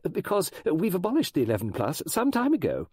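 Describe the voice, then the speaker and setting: Man playing a prim, disapproving schoolmistress, high-pitched. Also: wildly swooping pitch, brisk, darkish tone, husky, dry recording, clean studio sound, heavily acted. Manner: pedantic, prim.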